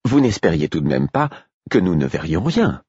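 A man voicing a sharp-tongued, teasing woman minstrel in an audiobook, mocking and quick-witted. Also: deep voice, sing-song, breathy edge, dry recording, highs cut off, heavily acted.

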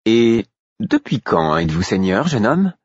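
A man voicing a sharp-tongued, teasing woman minstrel in an audiobook, mocking and quick-witted. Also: lowish voice, very animated, husky, no echo, highs cut off.

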